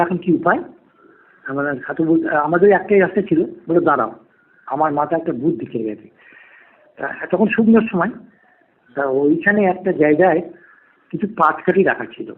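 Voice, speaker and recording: A man's voice: a little high, husky. A man, telling a ghost story in the first person over a lo-fi call recording. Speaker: podcast guest, private tutor. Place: remote call to a podcast. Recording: dull, lo-fi phone call recording.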